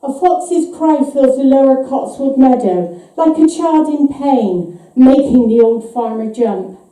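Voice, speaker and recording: Female author reading eerie fiction live, measured and suspenseful, high mellow voice, picked up from a distance in a reverberant space. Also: lively intonation. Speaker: author reading her own short story. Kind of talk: live dramatic story reading. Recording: echoey hall, distant microphone.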